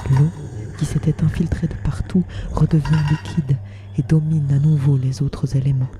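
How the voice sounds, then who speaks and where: Hushed woman narrator with even delivery. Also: sits low. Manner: measured, short poetic phrases. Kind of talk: scripted literary audio fiction narration. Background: music.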